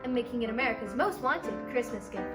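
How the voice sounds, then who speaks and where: A woman announcer reading a scripted sales pitch in an old-time radio advert style. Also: expressive, fast talker. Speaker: commercial announcer. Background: loud instrumental music.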